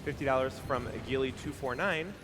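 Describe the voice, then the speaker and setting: Male stream host, steady donation reads, mid voice, music underneath. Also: medium pitch, a little fast, noisy background. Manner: steady announcer reading names and amounts. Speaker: event host reading donations. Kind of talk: announcing donations on a live stream. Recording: game music behind voice.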